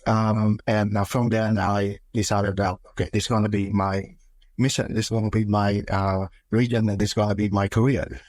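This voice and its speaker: Low-voiced man speaking haltingly. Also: level tone, a little mellow, no room sound. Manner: halting, casual with fillers. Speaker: panelist, senior manager.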